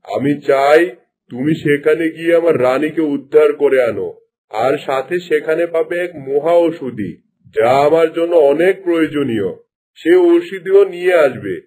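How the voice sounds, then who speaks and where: A vain, scheming cartoon king, acted by a man, with a fairly high, soft-edged voice. He speaks in an imperious way, as one used to giving orders. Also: expressive, normal speed, husky. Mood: commanding, sly. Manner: imperious, commanding. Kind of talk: Bengali cartoon dubbing.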